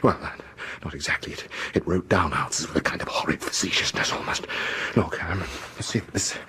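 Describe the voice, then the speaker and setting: A man's voice: deep voice, fairly quick, sharp tone, husky, full character voice. An actor playing a haunted, obsessive British man in a radio horror drama, stammering and prone to hysterical urgency. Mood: uneasy confiding. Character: haunted, obsessive man gripped by mounting dread. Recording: noisy old radio drama broadcast.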